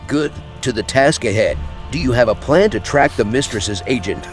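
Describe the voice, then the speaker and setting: A man's voice: medium pitch, animated, moderate pace, close to an everyday voice. A man playing a haughty young elven agent in a video game, imperious and sardonic, with open disdain for commoners. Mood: grudging. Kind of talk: video game roleplay dialogue. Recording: music behind the voice.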